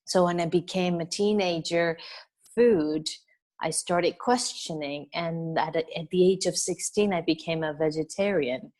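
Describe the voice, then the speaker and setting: A middle-aged woman from Venezuela, a wellness business coach, chatting at length as an interview guest with frequent fillers and soft laughs. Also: fairly low pitch, unhurried. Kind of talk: casual interview conversation.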